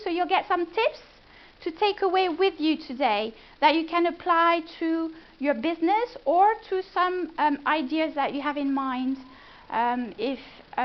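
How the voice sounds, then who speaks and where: A woman with a French accent gives a chatty business presentation at a live event and keeps pulling the audience in with questions. Her voice sits very high. The recording is muffled and lo-fi. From France. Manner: chatty, engaging, asks the room questions.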